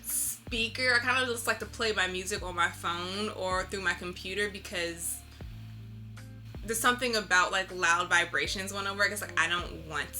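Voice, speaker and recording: A woman's voice: medium pitch, moderate pace, sharp tone. A woman speaking informally and reflectively in a casual interview as a visual artist, often saying "like". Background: instrumental lo-fi music.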